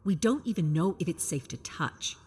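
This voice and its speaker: A woman's voice: fairly low pitch, expressive, moderate pace, darkish tone, husky. A woman voicing a stern, practical but caring female science officer who admonishes, in a narrated sci-fi story. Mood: disapproving.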